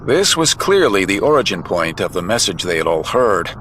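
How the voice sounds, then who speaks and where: A man's voice: low voice, big pitch swings, moderate pace, a bit bright, a little hiss or hum. A man reading dramatic scripted narration for a comic-book adventure.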